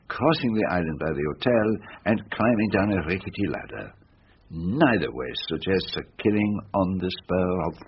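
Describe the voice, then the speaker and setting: Courteous European detective, French accent, deliberate questioning, swooping intonation, faint hiss, radio drama. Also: male voice, low-pitched. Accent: French-speaking European. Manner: formal, deliberate, precise questioning. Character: courteous, probing foreign male private detective. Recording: muffled radio broadcast, hissy with clicks.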